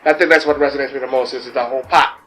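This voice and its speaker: A man speaking in a relaxed, philosophical way in a personal interview. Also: medium pitch, rapid-fire.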